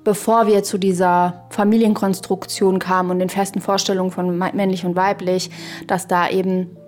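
A woman's voice: on the low side, little pitch movement, darkish tone. Woman thinking aloud, music behind. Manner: halting, searching, thinking aloud with frequent tag questions. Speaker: podcast guest or co-speaker. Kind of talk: reflective conversational podcast discussion.

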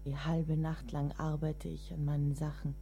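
A woman's voice: deep voice, little pitch movement, normal speed, gravelly, dark tone, slight background noise. A woman acting a devoted, burdened writer caring for her ailing lover in a German radio play, grave and literary in delivery. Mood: grave, reflective. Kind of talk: German audio drama acting, literary monologue. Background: music.

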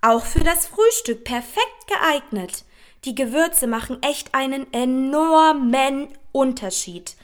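A woman's voice: high-pitched, animated, slow pace. A woman playing a gushing Instagram influencer, reading social media posts aloud with emojis spoken as words. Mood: chatty, promotional. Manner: speaks emojis and tags out as words. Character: gushing female Instagram influencer promoting products to followers.

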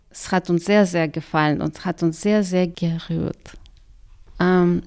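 A woman's voice: mid-range voice, a little mellow, clean tone. A middle-aged woman with a Russian accent, answering radio interview questions carefully and often repeating words for emphasis. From Kazakhstan. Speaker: interviewee, migrant community worker.

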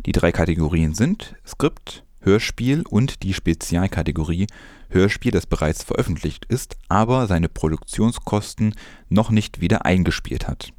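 A man presenting scripted news, reading in a measured, formal way with careful enunciation. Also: low voice, animated, darkish tone, no echo, clean studio sound.

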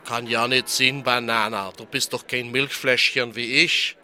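A man's voice: mid-range voice, fairly even intonation, a little slow, pushed and bright, slight background noise. A man playing a naive, plaintive little milk bottle in a radio play, with a Rhineland accent and a simple, folksy, questioning manner. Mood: curious, teasing. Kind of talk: German political radio play.

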